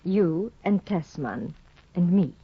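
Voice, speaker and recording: A cold, cutting aristocratic wife. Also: female voice, lowish voice, animated, takes it slowly, slightly rough, heavily acted. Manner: cool, clipped, disdainful. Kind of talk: 1950s radio drama acting. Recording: dull, dry 1950 radio broadcast.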